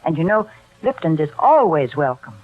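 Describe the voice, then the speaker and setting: A woman's voice: sits low, very animated, moderate pace, loud music behind the voice. A woman announcer reading sponsor spots on 1940s radio with chatty, polished salesmanship, on a tinny old recording. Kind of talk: 1940s radio advertising read.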